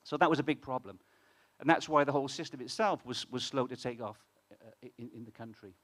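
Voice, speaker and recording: British male speaker, mid pitch, chatty conference presenter who restarts phrases and talks to the crowd. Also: brisk. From United Kingdom. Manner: conversational, many restarts, asks the audience questions. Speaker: fintech founder presenting on stage. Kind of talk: conference talk to a live audience. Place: conference hall with audience.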